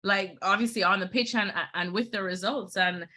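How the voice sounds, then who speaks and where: A woman hosts a football show in casual, enthusiastic chat, with plenty of fillers. Her tone is bright and forceful, but a little hoarse today. She keeps the talk moving at a middling pitch. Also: steady tone, moderate pace. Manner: chatty, enthusiastic, many fillers. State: slightly hoarse.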